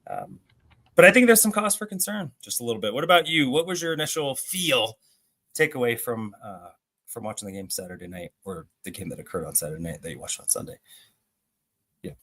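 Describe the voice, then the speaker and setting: A man co-hosts a sports discussion over a video call. His voice sits at a medium pitch. He talks casually, tossing in rhetorical questions as he works through his points. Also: animated, brisk, pushed and bright. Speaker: co-host of a sports show.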